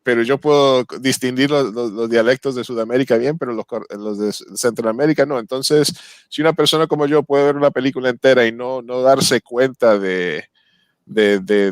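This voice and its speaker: A man's voice: medium pitch, no room sound. Guy from colombia and uruguay hosting a festival q&a over video call, lots of restarts, dry sound. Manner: halting, with many restarts and fillers. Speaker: interviewer at a film festival. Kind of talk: bilingual video-call interview.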